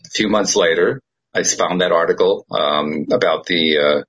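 A man's voice: deep voice, moderate pace, a bit bright, slightly rough, muffled recording. A man hosting a podcast, chatting casually in a rambling, self-deprecating way and often repeating his words. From United States. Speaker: podcast host. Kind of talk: casual chat.

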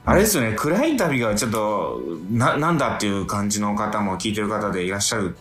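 A man's voice: on the low side, lively intonation, fast, fairly bright tone, roomy sound, faint music behind. A man appearing as a radio guest, chatting casually and explaining things in a conversational, mildly mock-complaining way. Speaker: radio guest promoting an event.

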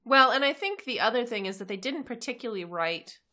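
Bright-voiced woman, casual co-host. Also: on the high side, expressive, a little fast. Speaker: podcast co-host. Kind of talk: casual episode recap chat.

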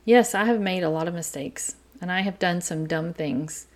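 A middle-aged woman with an East Texas accent, hosting her podcast from a quiet home in an earnest, chatty way. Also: fairly low pitch. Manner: chatty, earnest, talks faster as she warms up. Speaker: podcast host. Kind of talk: casual solo podcast talk.

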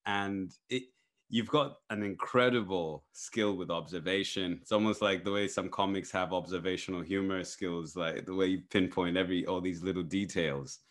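A man's voice: low voice, normal speed, a bit bright. A man from Zambia hosting a casual conversation, thoughtful and encouraging, asking long winding questions. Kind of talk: casual interview chat.

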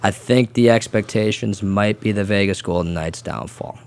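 A man co-hosting a sports talk radio show, giving measured, analytical takes on hockey. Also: fairly low pitch, a little mellow. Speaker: co-host.

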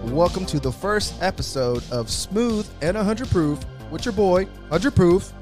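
A man's voice: high voice, lively intonation, unhurried, music in the background. A young man co-hosting a casual podcast with a friend, laid-back and slangy, swearing casually. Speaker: podcast co-host. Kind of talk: casual chat.

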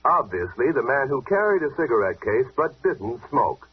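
A brisk young detective in an old radio mystery. He is confident and sharp, with very animated intonation. The sound is a muffled, narrow old broadcast. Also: mid-range voice, breathy edge. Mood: confident explaining. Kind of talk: 1940s radio mystery acting.

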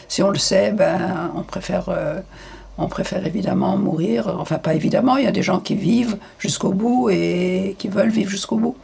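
Raspy, gravelly older woman. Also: mid-range voice, normal speed, darkish tone. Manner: frank, hesitant with many fillers. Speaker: interviewee. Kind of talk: candid interview answers.

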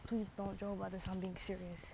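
A woman's voice: mid-range voice, level tone, fast talker. A young woman chatting casually on a stream, rambling with lots of fillers and slang like bro and dude. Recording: dull lo-fi mic with clear background noise.

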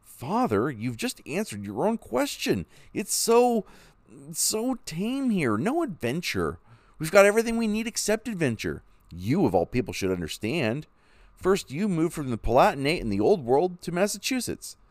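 A man playing a determined pioneer father, eager and firm. The reader's voice rises above his own pitch and bounds through lively intonation. The sound is dry and close. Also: moderate pace, dry recording. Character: determined young pioneer father, set on heading west. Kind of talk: storybook reading.

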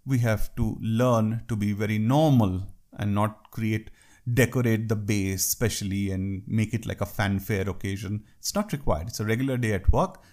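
A man with an Indian English accent gives a talk on working with foreign clients. His voice sits fairly low, and he speaks in a loose, conversational way, dropping in wry asides. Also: normal speed, darkish tone. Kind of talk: talk on workplace culture.

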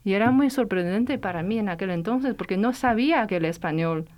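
Hesitant woman guest with a smooth voice. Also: mid-range voice, lively intonation, a little fast, a little mellow. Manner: hesitant, many restarts and fillers. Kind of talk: interview answer, personal story.

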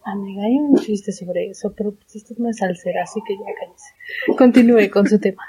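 Mellow, husky young woman chatting. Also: mid-range voice, a little fast, poor audio. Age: young adult. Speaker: podcast co-host. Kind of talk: casual bantering chat with a friend.

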